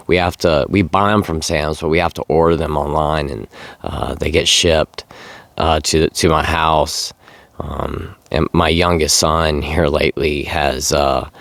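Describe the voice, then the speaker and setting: A middle-aged man who is tired and losing his voice, talking casually and haltingly to camera in a vlog. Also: a bass voice, a bit bright, dry recording. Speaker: sobriety vlogger. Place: outdoors.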